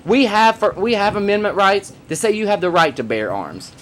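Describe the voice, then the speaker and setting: Emphatic man with a higher voice. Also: lively intonation, normal speed, slight background noise. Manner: emphatic, forceful, repeats points for effect. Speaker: drag performer and vlog host. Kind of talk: opinionated commentary vlog.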